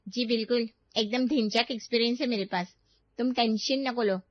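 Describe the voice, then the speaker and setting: A woman's voice: a little high, moderate pace, no room sound, highs cut off, close to an everyday voice. A woman voicing a breezy, eager, young-looking nanny in a cartoon story, slangy and cheeky. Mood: cheeky, pleased. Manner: slangy, garbles English words.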